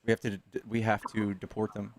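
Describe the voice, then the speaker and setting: A man's voice: lowish voice, completely flat delivery, fast, no echo. A young man in a heated political debate, exasperated and sarcastic, swearing and calling the other man "dude.".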